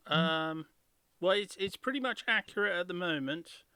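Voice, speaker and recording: A game master with a fairly high male voice talks his players through the game. His tone is crisp and bright, his delivery casual and a little hesitant, and the sound is close and dry. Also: animated, normal speed, no echo. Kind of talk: casual game-running talk.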